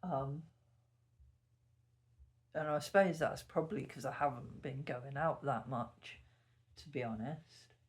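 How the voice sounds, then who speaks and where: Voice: medium pitch, slow pace, long pauses. A YouTuber chatting casually to the camera at home early in the morning, meandering and self-correcting, a bit sniffly. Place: sitting at home indoors. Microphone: camera mic.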